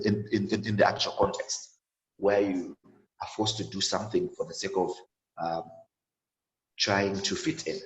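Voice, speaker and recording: A man in his twenties telling his own story on an online panel, halting and full of repeated words and fillers. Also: low voice, a bit bright, roomy sound, poor audio. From sub-Saharan Africa. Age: 20s. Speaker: panel guest.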